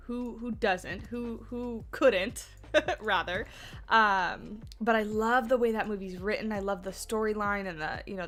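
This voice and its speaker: A woman's voice: medium pitch, a bit bright. A woman hosting a game livestream in a casual, rambling way, with many fillers and restarts, congested and sniffly from allergies. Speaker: livestream host.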